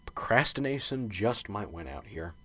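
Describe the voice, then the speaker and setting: Man acting a nervous, animated young professor. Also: lowish voice, normal speed, background noise. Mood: stressed, fretful. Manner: fretful, rambling self-talk. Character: nervous, unsure young male professor, self-doubting. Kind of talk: radio drama acting.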